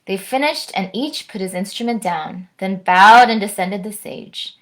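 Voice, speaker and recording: An American woman reading aloud and chatting to young children in an expressive storytime manner. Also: mid-range voice, unhurried, poor audio. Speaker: orchestral musician, guest storytime reader.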